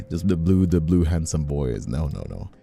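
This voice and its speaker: A man's voice: extremely low pitch, animated, a little slow, gravelly, dark tone. A young adult man chatting casually on a livestream, rambling, stammering and repeating his words. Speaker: streamer and ASMR creator. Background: background music.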